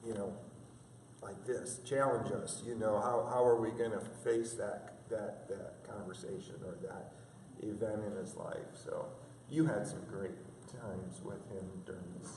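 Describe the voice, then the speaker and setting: A man in his fifties, a father, reflecting in a halting, filler-heavy way. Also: on the low side, steady tone, mellow, a little hiss or hum. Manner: halting, many fillers and restarts. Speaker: interview guest, father. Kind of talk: reflective conversational interview. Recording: echoey room from a distance.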